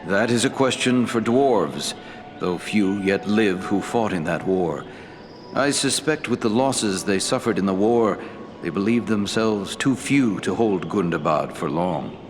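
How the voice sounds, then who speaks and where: An actor playing a wise, ancient elf lord in a fantasy game, speaking in a measured, formal way. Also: male voice, on the low side, expressive, background noise. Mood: grave counsel. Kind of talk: scripted fantasy game dialogue.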